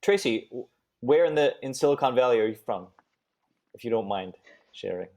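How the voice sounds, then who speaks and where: A man's voice: medium pitch, lively intonation, moderate pace, a little mellow. A man from the Bay Area, moderating a casual live-streamed panel, easygoing with plenty of fillers and restarts. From California Bay Area. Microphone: home video call.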